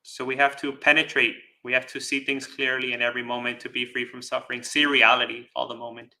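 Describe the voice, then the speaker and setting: A man giving a dharma talk as a Buddhist teacher, speaking deliberately with pauses, repeated phrases and rhetorical check-ins. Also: medium pitch, little pitch movement, vocal fry, pushed and bright, low-quality recording. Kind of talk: dharma talk with live chat questions.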